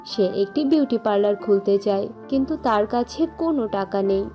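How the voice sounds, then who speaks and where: A woman's voice: medium pitch, normal speed, mellow, faint music behind. A woman narrator reading a scripted fairy tale for a cartoon.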